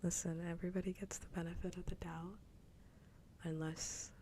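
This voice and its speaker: A woman chatting casually on an ASMR livestream in a soft, breathy whisper, teasing playfully and repeating her phrases, close to the microphone. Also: on the low side, level tone, moderate pace, a little hiss or hum. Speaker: livestream host.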